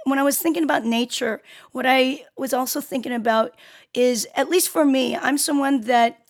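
A woman in her late fifties from South Korea, hosting a conversational interview in an earnest, wordy, halting way. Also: sits high. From Seoul, South Korea. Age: late 50s. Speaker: show host interviewing a guest.

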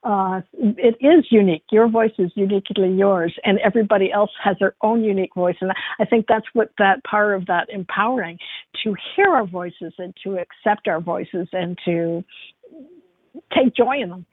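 A woman's voice: medium pitch, moderate pace, husky, no echo. A woman guest answering interview questions earnestly, explaining her ideas with frequent restarts. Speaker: author and radio guest. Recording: tinny phone line, dry.

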